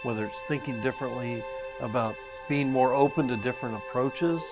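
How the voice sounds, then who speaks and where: A man's voice: medium pitch, a little fast. A man speaking reflectively, as in a documentary interview. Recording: loud music under documentary interview clip. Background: music.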